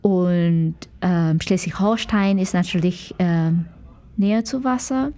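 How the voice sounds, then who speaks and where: A woman's voice: fairly low pitch, takes it slowly, dark tone. A woman from Malaysia with a Malaysian accent answers conversationally as an interview guest, searching for words in a language she learned later, her native ones being English, Mandarin and Malay. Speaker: guest, dancer and dance teacher.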